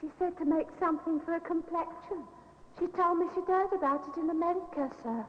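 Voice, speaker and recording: A nervous, deferential Victorian maid, young and hesitant. Her voice is high. The fifties radio recording is muffled and sits in long reverb. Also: fairly quick. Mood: dutiful. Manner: hesitant, earnest, servile. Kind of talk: 1950s radio acting. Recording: muffled, echoing old radio recording, noisy.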